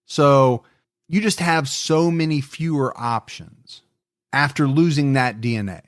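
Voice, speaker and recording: A man's voice: mid-range voice, a little slow, dry recording. Earnest male podcast host, dry close sound. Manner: earnest, reflective, repeats words when stressing a point. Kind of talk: casual true-crime podcast chat.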